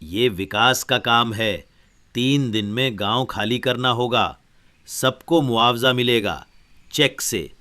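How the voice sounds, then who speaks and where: A man's voice: medium pitch, takes it slowly, bright, forceful tone. A man voicing a stern, unyielding government officer in a cartoon story, curt and commanding. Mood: officious, firm. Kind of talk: animated moral story dialogue.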